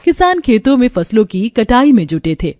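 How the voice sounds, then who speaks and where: A woman's voice: fairly high pitch, sing-song, normal speed, a little mellow, faint music behind. A woman reading scripted narration for a cartoon story.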